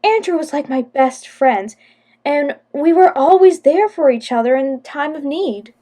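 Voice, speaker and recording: A woman's voice: high-pitched, expressive, unhurried, warm tone. A young female student playing an entitled, neglected daughter in a radio play. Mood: eager. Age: teenager or young adult student. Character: entitled, neglected daughter, loyal to her brother.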